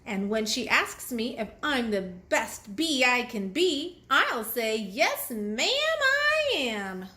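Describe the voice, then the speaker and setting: A woman voicing a busy, excitable young girl bee in a storybook, earnest and proud. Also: a little high, slow pace, few pauses, exaggerated voice. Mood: eager, proud.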